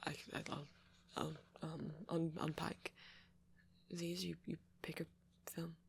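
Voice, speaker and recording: A man voicing a shy, gentle vampire boyfriend in an ASMR romance roleplay, stammering hesitantly in a soft, breathy voice. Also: fairly high pitch, little pitch movement, slow pace, heavily acted. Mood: shy, flustered, then bashful, grateful.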